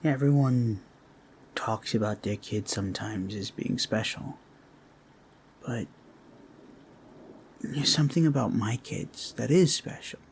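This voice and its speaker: A man plays a devoted orc father in a fantasy roleplay, weighed down with worry for his children. He talks slowly and haltingly, with an earnest, reflective manner. His pitch is middling for a man, with some ambient noise behind him. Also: a little mellow. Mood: heartfelt, sorrowful. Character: Omar, anxious, devoted orc father, grieving for kids. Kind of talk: roleplay audio drama.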